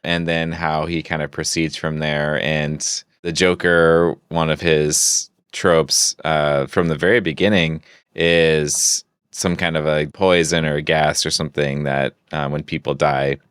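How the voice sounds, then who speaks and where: A man's voice: extremely low pitch, little pitch movement, unhurried, a bit bright, clean tone, no echo, clean studio sound. A man co-hosting a podcast, talking casually and thoughtfully, feeling out his phrasing as he goes. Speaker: podcast co-host. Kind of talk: casual discussion.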